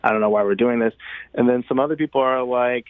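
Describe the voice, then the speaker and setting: A man's voice: mid-range voice, fast talker, dry recording. Man in muffled, dry-sounding interview audio. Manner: informal, thinks aloud with "like" and acted-out quotes. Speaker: playwright and director being interviewed. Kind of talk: conversational radio interview.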